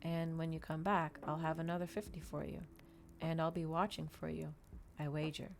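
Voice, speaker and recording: Woman playing storybook male vampire, imperious, mocking, commanding, slightly gravelly, aristocratic villain. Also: deep voice, level tone, normal speed, slight background noise.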